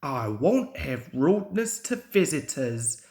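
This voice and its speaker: A small, strict railway controller from a children's storybook, as a young man acts him out. He speaks very slowly and firmly, with disapproving authority. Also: fairly high pitch, very animated, darkish tone, echoey room, pitched below the performer's own voice, full character voice. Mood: stern. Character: stern, small male railway controller scolding engines.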